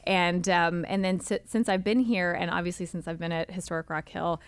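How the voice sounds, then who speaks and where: A woman's voice: on the low side, steady tone, fairly quick, smooth, no echo. A woman in her thirties from North Carolina, chatting as a podcast guest with many ums and restarts. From Charlotte, North Carolina. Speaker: guest, executive director of a history group. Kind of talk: casual podcast chat.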